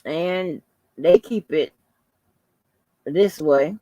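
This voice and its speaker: A woman hosting a casual livestream, rambling informally to her viewers in a soft, calming voice. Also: deep voice, drawn-out words, no echo. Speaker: livestream host.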